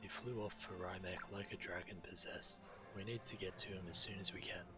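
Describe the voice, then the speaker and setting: Deep-voiced solemn dragon leader played by a man. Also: steady tone, natural voice. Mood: urgent resolve. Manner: earnest, solemn, measured urgency. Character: grave, urgent male dragon leader of allies. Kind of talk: dramatic video game dialogue. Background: game music.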